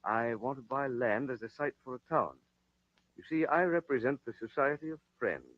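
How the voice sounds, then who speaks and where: A man's voice: mid-range voice, lively intonation, normal speed, close to an everyday voice. A male actor playing an earnest, polite Quaker land buyer in a 1940s radio drama. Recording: dull, dry old radio recording.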